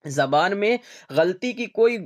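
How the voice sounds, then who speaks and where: A man's voice: sits high, lively intonation, slow pace. A man teaching an online class in an informal lecture style, restating each point for his students.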